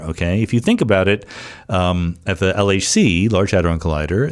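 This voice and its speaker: Guy with a lowish voice hosting a q&a podcast, explaining stuff conversationally, little asides and rhetorical questions. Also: a little mellow. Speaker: podcast host answering listener questions. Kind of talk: informal spoken explanation.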